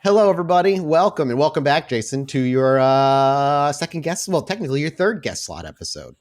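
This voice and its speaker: A man's voice: on the high side, big pitch swings, brisk. A man speaking as a podcast host and game storyteller, chatty and informal. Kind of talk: podcast announcements and game narration.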